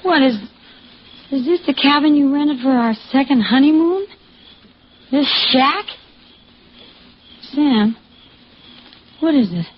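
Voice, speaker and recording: Betrayed, defiant wife: high-pitched, melodramatic, vintage radio drama on a dull, slightly noisy recording. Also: female voice, lively intonation, slow, long pauses. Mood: suspicious, uneasy. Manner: emotional, melodramatic. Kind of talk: old-time radio mystery acting.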